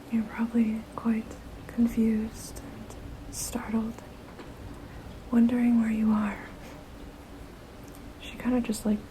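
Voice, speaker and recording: A woman voicing a gently protective, motherly vampire in a soft, breathy ASMR whisper, casual and soothing. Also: fairly high pitch, slight background noise, low-quality recording. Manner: hesitant, casual, soothing, unhurried.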